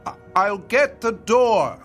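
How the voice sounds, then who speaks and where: A man's voice: high-pitched, sing-song, slow, soft background music, full character voice. An actor playing a patient, cautious chauffeur in a radio drama, polite and hesitant with his employer. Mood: deferential.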